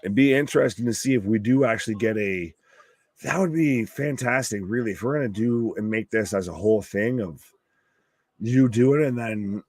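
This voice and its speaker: A man hosting a wrestling live stream, with a gravelly, worn voice and a casual, rambling, stop-start way of talking. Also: fairly low pitch, moderate pace, a little mellow, dry recording, clean studio sound.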